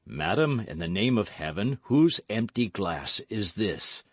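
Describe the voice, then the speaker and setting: A man reading aloud voices a grave, brooding nobleman who speaks in low, murmuring tones. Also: very animated, unhurried, dry recording.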